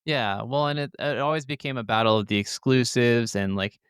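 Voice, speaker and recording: A man's voice: mid-range voice, a little fast, a bit bright, clear, resonant tone, no room sound, studio quality. A man co-hosting a gaming podcast in a casual, conversational style. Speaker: podcast co-host.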